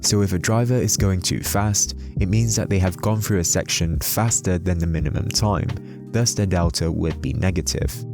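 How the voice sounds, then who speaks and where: A man's voice: sits low, moderate pace, music in the background. A man giving a scripted explainer narration as the host of a video.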